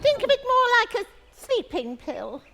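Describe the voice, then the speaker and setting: A woman's voice: very high voice, big pitch swings, gravelly, pushed and bright, a little hiss or hum, full character voice. A woman playing a sly, sinister evil fairy who coaxes her victim in a stage pantomime. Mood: coaxing, sly. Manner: slow, coaxing, sinister. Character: sly evil fairy witch luring a princess. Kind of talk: theatrical stage pantomime villain acting.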